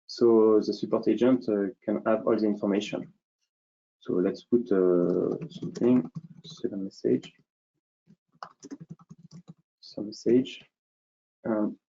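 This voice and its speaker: A man with a soft, mellow voice at a middling pitch walks through a software demo. He explains step by step, hesitating and thinking aloud. The audio is somewhat dull, as on a web call.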